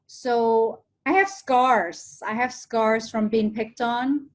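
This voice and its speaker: A woman with an American accent and a fairly high voice, speaking as a guest on a podcast call. She is reflective, repeats phrases for emphasis and laughs easily. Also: steady tone, slow pace. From Hong Kong, raised in Ohio, USA. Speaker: guest, TV presenter. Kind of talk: casual interview chat. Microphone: remote call.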